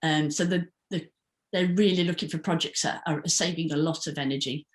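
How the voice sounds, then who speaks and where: A woman's voice: low-pitched, steady tone, fairly quick. A woman answering audience questions in an online workshop, careful, with many ums and rephrasings. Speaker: invited speaker.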